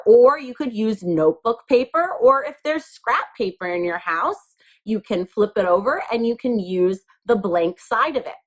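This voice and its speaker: A woman teacher walks small children through a hands-on craft. Her delivery is very slow and patient, with a lively, swooping melody. She keeps each instruction simple and spells it out step by step. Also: a little high.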